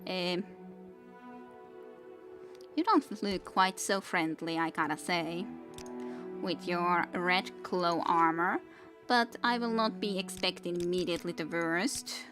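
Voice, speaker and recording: A woman in her thirties with a Finnish accent, Finnish being her first language, chats loosely as she plays a game on stream. Her voice sits a bit low, and her thoughts wander with many restarts. Faint music plays behind her. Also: slow, pauses often. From Finland. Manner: rambling, reads chat aloud, many restarts. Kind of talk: casual gameplay stream chat.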